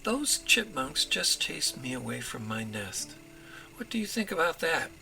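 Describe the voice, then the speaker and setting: Man reading as a sassy, bright-voiced mother robin. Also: a little high, big pitch swings, unhurried, vocal fry, soft background music, voice pushed up, full character voice, low-quality recording. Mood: indignant. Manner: sassy, wry, combative. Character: feisty, sassy female robin wife, indignant and bold.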